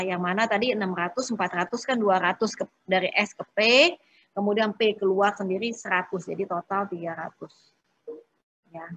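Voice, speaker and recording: A woman working through exercises as an accounting instructor in an informal step-by-step lecture, often pausing and adding short check-in tags. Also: medium pitch, a bit bright, slightly rough, dry recording, rough recording.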